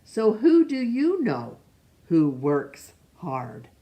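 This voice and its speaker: A woman's voice: on the low side, wildly swooping pitch, muffled recording. A woman reading a picture book aloud to children, stopping to ask the listener questions and now and then rereading a name. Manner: slow, gentle, asks the listener questions; stumbles over names and rereads them. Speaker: storytime reader.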